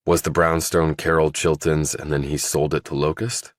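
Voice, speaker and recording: Man as a very deep, guarded ex-soldier. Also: flat delivery, normal speed, no room sound, clean studio sound. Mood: questioning. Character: guarded, terse male ex-soldier turned undercover operative. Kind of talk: audiobook character dialogue.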